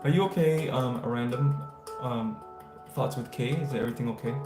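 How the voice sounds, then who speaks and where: A man's voice: mid-range voice, moderate pace. A man from the United States hosting a casual spiritual livestream, answering viewers and giving enthusiastic, affirmation-style blessings. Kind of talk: casual livestream chat, reading viewer comments. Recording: roomy echo, faint guitar music. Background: faint guitar music.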